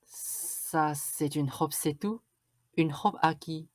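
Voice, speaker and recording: A woman's voice: sits low, slow, no room sound. A woman playing a bossy, vain, suspicious stepmother in a radio drama, with a scolding, insistent manner. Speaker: student actor.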